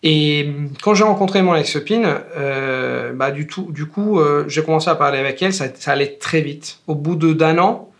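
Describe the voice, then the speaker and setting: A man in his thirties with an Italian accent, speaking as a guest in a casual interview. His voice sits a bit higher than most men's. He talks loosely, stopping and restarting, with frequent fillers. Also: moderate pace, few pauses. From Italy. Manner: relaxed, rambling, many fillers and restarts. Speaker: guest, language teacher.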